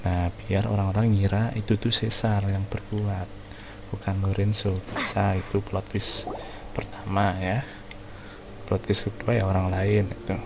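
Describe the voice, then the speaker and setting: Gravelly Indonesian gaming streamer. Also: male voice, fairly low pitch, normal speed, background noise. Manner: relaxed, musing aloud. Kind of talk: casual gameplay commentary.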